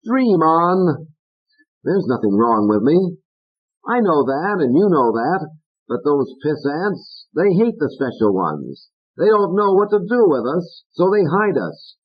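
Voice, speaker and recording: A manic, foul-mouthed ventriloquist dummy rants in a shrill, high voice. The voice leaps up and down as he sneers and bullies. It sounds slightly husky on a dull, lo-fi recording. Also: sing-song, slow pace, full character voice. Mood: ranting. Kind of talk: audiobook character voice.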